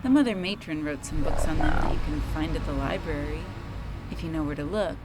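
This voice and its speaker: A woman playing a blunt, sardonic young neighbor in a game, with a dry deadpan delivery. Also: deep voice, a little fast, natural voice. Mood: dry, matter-of-fact. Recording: loud game music behind.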